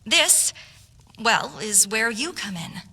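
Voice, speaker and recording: A woman's voice: on the high side, big pitch swings, slow pace, bright, forceful tone, full character voice. A woman playing an earnest, patriotic young noble lady officer in a fantasy game, speaking formally and persuasively. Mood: earnest, resolute. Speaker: voice actor in a fantasy game quest mod. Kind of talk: scripted fantasy game dialogue. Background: background music.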